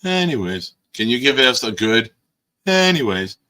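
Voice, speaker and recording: A man hosting a casual live-stream show, hoarse, teasing and bossing his guest around with sudden loud bursts. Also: medium pitch, very animated, measured pace. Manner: teasing, bossy banter with sudden loud outbursts. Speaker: podcast host.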